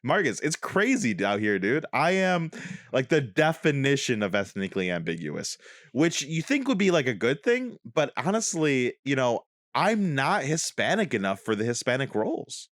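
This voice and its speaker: A young man co-hosting a podcast in casual, animated chat, rambling and restarting his sentences. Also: mid-range voice, moderate pace, dry recording, clean studio sound. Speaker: podcast co-host.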